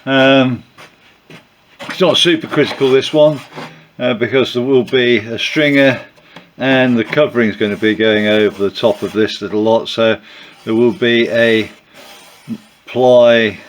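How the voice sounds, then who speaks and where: An older man with a croaky voice from a cold, narrating his build in a home workshop with many pauses and fillers. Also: medium pitch, a little hiss or hum. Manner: relaxed, hesitant with many fillers. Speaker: aircraft builder.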